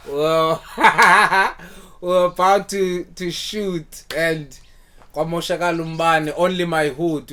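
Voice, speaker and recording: A man with a South African accent hosting a casual podcast, relaxed, often repeating words and dropping in isiXhosa phrases. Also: on the high side. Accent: South African English. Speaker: podcast host.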